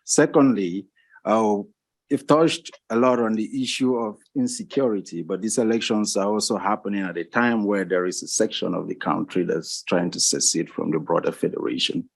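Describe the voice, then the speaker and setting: A man from Cameroon asking two earnest, measured questions on a panel. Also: lowish voice, gravelly, no echo.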